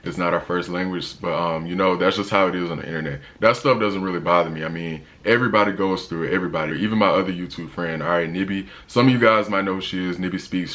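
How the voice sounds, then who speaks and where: A young man with a deep voice, talking casually in a travel vlog with a laid-back, slangy manner and lots of fillers. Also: a bit bright. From United States. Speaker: travel vlogger.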